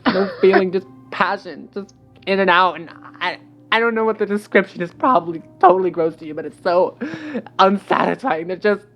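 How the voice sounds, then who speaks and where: A man voicing a distraught young woman who confides her troubles in a high, strained, pleading voice. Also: normal speed, highs cut off, faint music behind. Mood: upset.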